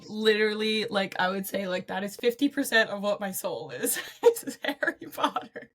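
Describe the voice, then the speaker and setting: A woman's voice: mid-range voice, lively intonation, moderate pace, fairly bright tone. A woman from Vancouver, a guest on an interview show, talking casually in a Canadian accent with a slight Southern twang, bubbly and giggly with lots of "like.". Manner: giggly, bubbly, many "yeah" and "like". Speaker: guest, photographer and artist.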